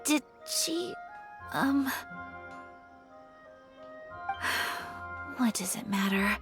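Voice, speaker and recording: A young woman voicing a polite, shy, refined noblewoman in a video game, speaking in a formal, gentle, earnest way. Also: fairly high pitch, animated, drawn-out words. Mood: shy, sad. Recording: studio voice over clear background music.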